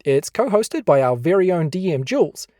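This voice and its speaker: A co-host with a New Zealand accent reads a podcast outro in a warm, mellow voice. The pitch rises and falls a great deal as the host asks playful, mock-mysterious questions. The recording is close and dry. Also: big pitch swings, moderate pace, no room sound.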